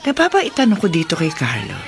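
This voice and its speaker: Caring widowed mom, knowing, motherly, slightly low pitch, radio drama. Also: huge pitch swings, fairly quick, some chatter behind, slight background noise. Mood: warm, pleased. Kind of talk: Filipino radio drama acting.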